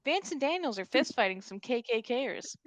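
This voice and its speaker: A woman's voice: on the high side, very animated, moderate pace. A playful, amused woman narrating the stage directions of a comedy script in a casual table read.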